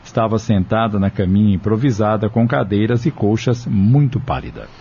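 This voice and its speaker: A man's voice: fairly low pitch, lively intonation, moderate pace, gravelly, mellow, lo-fi sound, a little hiss or hum. A Brazilian man reading scripted narration for an audio novel.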